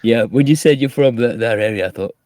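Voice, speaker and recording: A man's voice: medium pitch, a little fast, darkish tone, no echo, clean studio sound. A British man chatting as a guest on a live stream, hesitant, repeating his words and playful. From United Kingdom. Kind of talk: casual live-stream chat.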